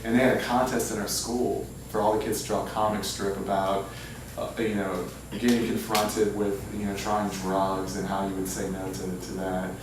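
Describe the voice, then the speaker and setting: A man talks informally about his writing life in an anecdotal way. His voice is on the low side. The recording is very echoey, distant and clearly noisy. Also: little pitch movement, moderate pace. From Louisiana, United States. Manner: self-deprecating, anecdotal. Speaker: author speaking at a book reading. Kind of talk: informal public talk with audience questions. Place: indoor humanities center event room. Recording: echoey, noisy hall, far from mic.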